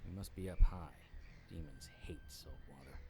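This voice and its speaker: An actor playing a wry, world-weary supernatural investigator, narrating a noir audio drama in clipped, deadpan phrases. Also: male voice, sits low, normal speed, creaky, slight background noise, full character voice. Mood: grim, wry.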